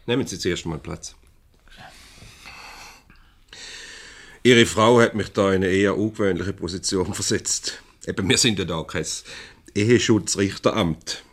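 An actor with a Swiss German accent playing a formal, slightly pompous, placating company director in a radio comedy. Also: male voice, low-pitched, normal speed. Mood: businesslike, placating. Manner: formal, pompous, hesitant when mediating. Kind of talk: 1970s radio comedy acting.

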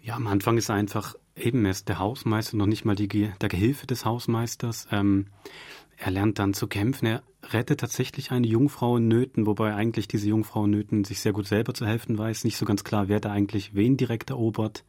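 A man's voice: lowish voice, fairly even intonation, brisk, a little mellow, dry recording. A man speaking as a radio drama director in an interview, thinking aloud in long, loose sentences. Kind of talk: conversational explaining in interview. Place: dry radio studio.

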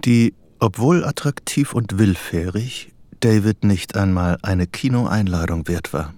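A man as the narrator of a dark psychological radio play, reading scripted literary prose. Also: on the low side, unhurried, close to an everyday voice. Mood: grave, detached.

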